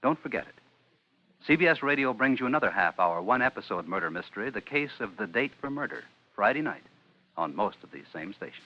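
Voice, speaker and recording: A man's voice: on the low side, very animated, a little fast. A man reading scripted 1950s radio network promotions with a punchy, selling delivery. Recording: muffled vintage radio broadcast.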